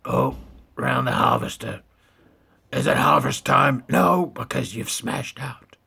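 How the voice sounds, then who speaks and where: A man giving casual, excitable commentary over a racing game. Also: medium pitch, slow, gravelly, breathy edge. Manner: excitable exclamations. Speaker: gamer.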